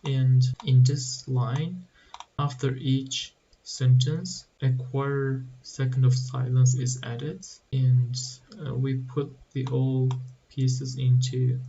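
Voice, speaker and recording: A man talking through a coding tutorial at a slow, hesitant pace, his voice flat and mellow. The recording is dull and a little muffled with faint background hiss. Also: medium pitch. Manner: slow, halting explanation with restarts. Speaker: programming tutorial host. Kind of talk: screen-recorded coding walkthrough.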